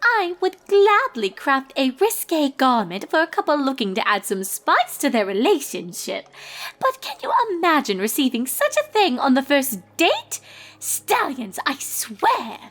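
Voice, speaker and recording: A prim and theatrical young lady unicorn from a cartoon story, acted by a woman reader. The high voice swings widely in pitch as it gossips in wordy, indignant flourishes. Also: huge pitch swings, a little slow, fairly bright tone, exaggerated voice. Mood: scandalized, gossipy. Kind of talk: cartoon fan-fiction character reading.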